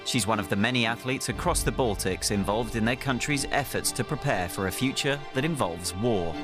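A man reading scripted documentary news narration in a measured, even delivery. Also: medium pitch. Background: music bed.

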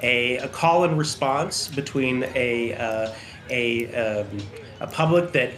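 A male policy analyst with a mid-pitched voice answers a news interviewer. He speaks thoughtfully, hesitating with fillers as he builds his point. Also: takes it slowly, fairly bright tone, slight background noise.

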